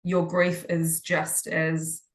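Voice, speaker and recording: Slow, halting woman. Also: fairly low pitch, monotone, background noise. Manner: hesitant, halting with frequent fillers and restarts. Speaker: podcast guest. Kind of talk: conversational interview answers.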